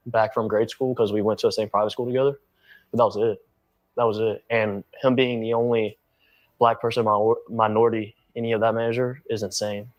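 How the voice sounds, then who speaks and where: A young adult man giving an emotional interview account in an earnest, halting way. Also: mid-range voice, no echo, poor audio.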